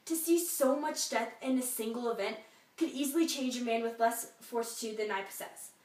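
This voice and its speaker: A distant, echoing recording of a proud Civil War general performed by a woman in a fairly high voice. The delivery is formal, pompous oratory, full of boastful bitterness over being dismissed, like a stage soliloquy. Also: natural voice. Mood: grave, disdainful. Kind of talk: memorized history class soliloquy. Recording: echoey room, distant microphone.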